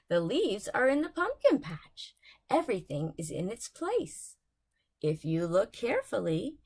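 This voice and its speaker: A woman narrates a children's bedtime story in a high, very animated voice. She takes her time, leaning dramatically on key words and phrases as she reads.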